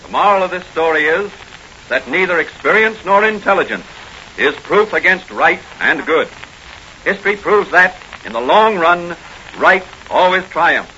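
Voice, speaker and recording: High, bright-voiced 1940s radio announcer, man. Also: expressive, normal speed, a little hiss or hum. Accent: American. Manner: emphatic, declamatory. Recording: muffled old radio recording.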